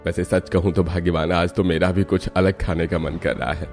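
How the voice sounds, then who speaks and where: A man voicing a calm, reassuring middle-aged father-in-law in a Hindi family cartoon. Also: low-pitched, moderate pace, faint music behind.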